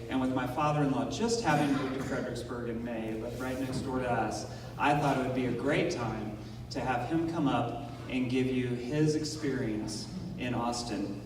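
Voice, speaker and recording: A man's voice: mid-range voice, normal speed. Man introducing a speaker in an echoey hall. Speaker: club member introducing the speaker. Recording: echoey hall from far off, noisy.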